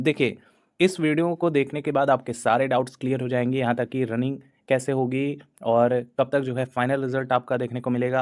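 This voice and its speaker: A man hosting an exam-news channel, talking in a loose explainer style with frequent hesitations and English words mixed in. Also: mid-range voice. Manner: repetitive, hesitant fillers, Hindi-English mix. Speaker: exam coaching channel host. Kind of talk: explainer talk to camera.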